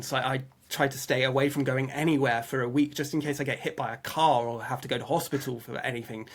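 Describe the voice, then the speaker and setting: A man's voice: medium pitch, fairly bright tone. A man telling personal anecdotes in a casual interview, chatty, often acting out his own remarks and restarting sentences. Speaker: interview guest, fan website webmaster.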